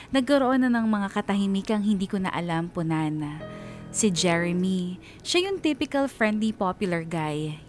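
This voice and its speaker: A woman with a Filipino accent narrating a scripted first-person story as a radio DJ, reflective and sentimental, with pauses. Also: mid-range voice, slight background noise. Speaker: radio DJ reading a listener's story.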